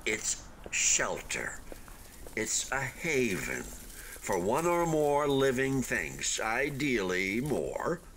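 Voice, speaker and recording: An actor in a radio play voicing an abandoned, unfinished hotel building, wistful and defensive, chatting in hesitant, earnest philosophical musings. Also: male voice, medium pitch, huge pitch swings, drawn-out words, fairly bright tone, slight background noise, heavily acted. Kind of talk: radio play acting, conversational monologue.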